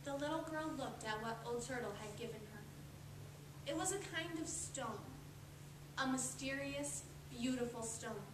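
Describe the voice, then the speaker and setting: A woman narrating a storybook in readers theatre style, with deliberate, dramatic storytelling. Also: high voice. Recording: echoey room, distant mic, clear noise.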